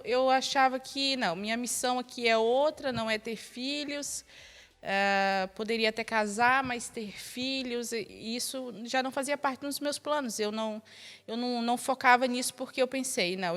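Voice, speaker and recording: Higher-pitched Brazilian woman in her thirties. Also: a bit bright. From northern Brazil. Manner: chuckles often while talking. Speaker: interview guest. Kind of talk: casual personal storytelling.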